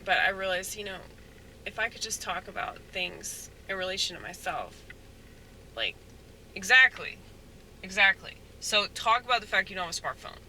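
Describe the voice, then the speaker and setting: A man rambling in a soft-spoken, halting way, full of fillers, as a stand-up comedian musing aloud. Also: high-pitched, lively intonation, slight background noise, rough recording. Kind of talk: rambling casual monologue.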